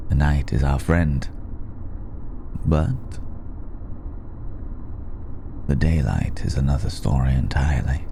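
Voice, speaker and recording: A man playing a suave, worldly old vampire in an intimate ASMR roleplay, speaking with refined, wry hesitation and a gravelly voice. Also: slow, long pauses, noisy background, exaggerated voice. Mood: confiding, wry. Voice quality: gravelly, dark low voice. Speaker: voice actor in audio roleplay.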